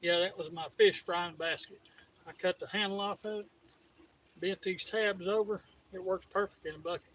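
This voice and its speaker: A man's voice: high-pitched, steady tone, normal speed. A man in his fifties, a prospecting buddy talking in a laid-back, plainspoken way outdoors. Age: 50s. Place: outdoors by a creek. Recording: distant voice over creek noise.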